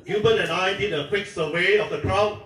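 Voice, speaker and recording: A man's voice: sits high, fairly quick, a bit bright. A man emceeing an outdoor protest, stressing his points through repetition. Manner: emphatic, repeats key phrases. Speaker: rally emcee. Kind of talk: announcing at a protest. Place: outdoor park rally. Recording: distant, echoey, crowd noise. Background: chanting crowd.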